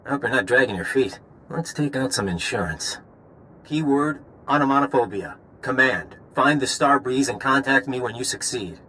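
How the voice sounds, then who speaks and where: A man's voice: mid-range voice, animated, normal speed, sharp tone, a little hiss or hum, low-quality recording. A man playing a smug, taunting intelligence handler in a video game, mocking and commanding. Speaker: game character voice actor. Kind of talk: video game dialogue acting.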